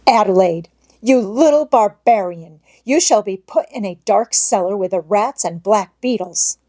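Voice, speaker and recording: A woman's voice: animated, unhurried, dark tone. A woman voicing a strict, easily horrified middle-aged housekeeper who shrieks and scolds her orders in a storybook reading. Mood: angry scolding. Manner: shrill, commanding, scolding.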